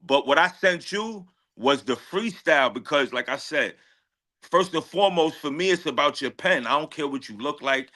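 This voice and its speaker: A man's voice: a little high, expressive, moderate pace, sharp tone. A man, a rapper talking casually in an interview with heavy slang, confident and emphatic, often repeating his phrases. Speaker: guest rapper.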